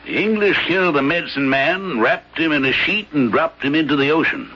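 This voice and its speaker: An actor playing a laconic, uneasy country innkeeper in a radio drama, with a raspy voice and terse, folksy speech. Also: male voice, on the high side, lively intonation, a little fast. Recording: muffled vintage radio drama recording.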